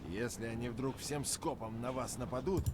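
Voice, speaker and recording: An actor playing a cautious local officer of old Siberia in a visual novel game, explaining things formally. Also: male voice, mid-range voice, a little fast, crowd noise, close to an everyday voice. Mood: grave warning.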